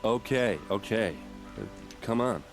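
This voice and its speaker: A gruff, hot-tempered warrior man in a video game. His voice is low and swings widely in pitch, with blunt, colloquial phrasing over a clear music bed. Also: lively intonation, measured pace. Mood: reluctant. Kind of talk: video game cutscene acting.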